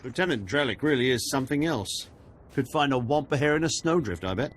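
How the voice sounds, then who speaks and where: A man's voice: medium pitch, expressive, brisk, a little hiss or hum, close to an everyday voice. A male actor playing a loyal, easygoing military engineer in a video game, plainspoken and respectful. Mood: fond, admiring.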